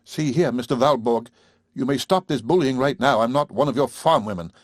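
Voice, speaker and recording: A man's voice: medium pitch, a little fast, dry recording, studio quality, pitched above the performer's own voice, close to an everyday voice. A man voicing a proud young wife, defiant yet shaken, speaking in a tense, clipped way.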